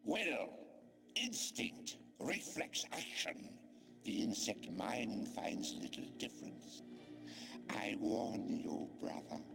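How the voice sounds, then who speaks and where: Monstrous vampire villain, ravenous and cunning, extremely gravelly, slow drawn-out taunts, game cutscene. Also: male voice, lowish voice, huge pitch swings, over music, exaggerated voice. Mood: menacing, taunting. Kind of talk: video game villain acting.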